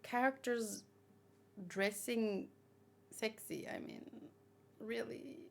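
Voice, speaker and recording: A woman's voice: fairly high pitch, takes it slowly, gravelly. A woman giving informal, opinionated commentary as she hosts a casual live read-along and talks with chat. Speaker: manga read-along host.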